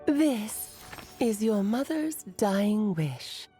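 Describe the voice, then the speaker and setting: A woman's voice: medium pitch, very animated, very slow, dark tone. A woman voicing a self-assured, teasing mage aunt and storyteller in an anime-style video game, grand and articulate, with music behind. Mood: solemn, storytelling.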